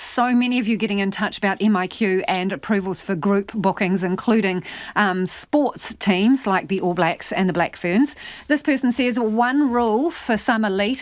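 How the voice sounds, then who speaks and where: A woman radio host reading listener feedback aloud in a quick, conversational manner. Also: medium pitch, no echo.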